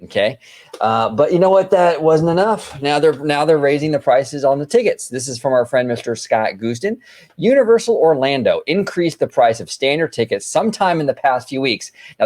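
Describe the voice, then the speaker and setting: A man's voice: medium pitch, big pitch swings, fairly quick, darkish tone. Brash, chatty man hosting a podcast. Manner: brash, swears freely, repeats phrases. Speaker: podcast host. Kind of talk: casual chat.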